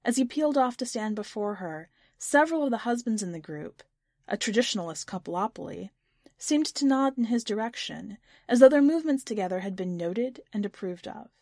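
A woman's voice: mid-range voice, lively intonation, fairly quick, darkish tone, no room sound, clean studio sound. A woman reading literary fiction aloud as a scripted audiobook narration. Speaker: podcast editor and story narrator.

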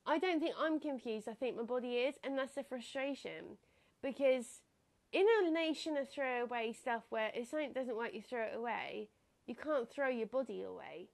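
A woman's voice: on the high side, normal speed, creaky. A young woman hosting her own podcast, talking in a casual, reflective way with a slightly nasal, stuffed-up voice and frequent laughs. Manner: chatty, reflective, laughs often. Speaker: podcast host. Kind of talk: casual solo podcast talk.

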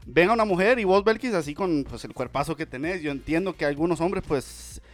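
A man hosting a casual Spanish-language radio podcast, talking with energetic, repetitive, playful hype. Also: fairly high pitch, brisk. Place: home studio in Las Vegas.

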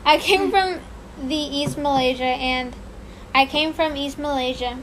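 A woman with a high voice reads a story aloud in a loose, casual way, often stumbling, restarting and apologizing. A steady hiss of noise sits under the recording. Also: rough recording. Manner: halting, apologetic, laughs at her own slips. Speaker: podcast co-host reading a story.

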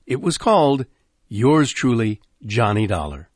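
A dry, close recording of a man hosting a vintage radio program. His middle-pitched voice moves in animated swings. He reads scripted introductions as a presenter. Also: measured pace, dry recording. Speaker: host introducing old radio shows. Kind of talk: scripted narration.

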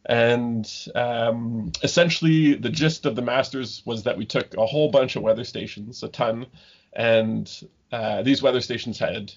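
A man in his thirties from Ontario, a guest scientist talking casually in an interview, halting with many ums and restarts. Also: medium pitch, husky. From Ontario, Canada. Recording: dull lo-fi remote call audio.

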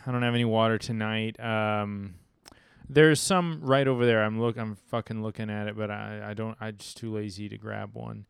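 A young man hosts a casual podcast, holding a handheld mic. His voice is gravelly but smooth, and the sound is dry and close. He rambles, restarts and swears casually as he addresses the audience. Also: fairly low pitch, fairly even intonation, normal speed, no room sound. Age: young adult. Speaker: podcast host. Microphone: handheld mic with pop filter.

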